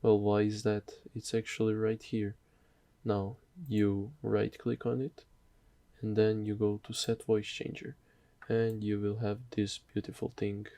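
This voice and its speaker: A man hosts a casual how-to in a low, very mellow voice. He speaks slowly and haltingly, working it out as he goes. The sound is close and very dry. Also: little pitch movement, no room sound. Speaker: software tutorial host. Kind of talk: casual screen tutorial narration.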